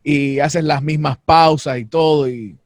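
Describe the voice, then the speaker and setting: A man's voice: a little high, steady tone, measured pace, dry recording, studio quality. A man hosting an interview, asking playful, probing questions in a casual conversation. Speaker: interviewer host.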